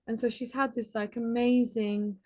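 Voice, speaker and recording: A woman's voice: on the high side, little pitch movement, mellow. Chatty woman podcast guest. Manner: chatty, lots of "like", quick laughs. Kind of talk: casual conversational interview.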